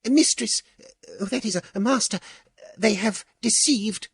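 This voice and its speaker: A man's voice: high-pitched, normal speed, husky, no room sound, studio quality, pitched above the performer's own voice, full character voice. A man voicing a scornful, sarcastic young princess in a storybook reading, with a cutting, haughty manner.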